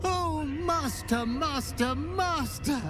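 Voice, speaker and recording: An actor playing a gleefully servile little fiend butler in a fantasy game, effusive, singsong and obsequious. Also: male voice, very high voice, very slow, exaggerated voice. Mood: gleeful, fawning. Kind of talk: dramatic fantasy game acting. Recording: music behind the voice.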